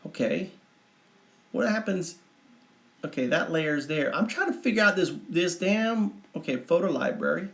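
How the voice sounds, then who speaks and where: A man's voice: a little high, lively intonation, measured pace, vocal fry, a bit bright, heavily acted. A man putting on an American Southern accent as a brash, folksy redneck livestream host who rambles, boasts and swears casually to his viewers. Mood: folksy, chatty. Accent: put-on American Southern. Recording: roomy, echoing livestream audio.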